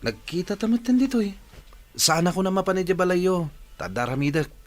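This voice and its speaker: A man's voice: sits high, very animated, fairly quick, no room sound. A man playing an evasive, irritable young boyfriend in an audio drama. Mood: irritated, evasive.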